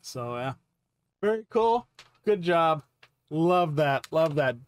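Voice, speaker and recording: A man's voice: on the high side, expressive, slow, clean tone. A man in his fifties hosting a reaction video with casual commentary in short, choppy, informal remarks. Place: small home room full of collectibles. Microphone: close mic on boom arm.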